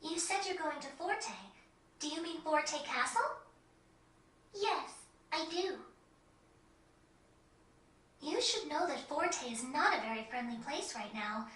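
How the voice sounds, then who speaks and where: A woman playing a composed, courteous young girl who guards a forest, speaking in measured, articulate video game dialogue. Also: high voice, lively intonation, a bit bright, full character voice. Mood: earnest, concerned. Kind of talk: video game cutscene acting. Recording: echoey, distant game audio.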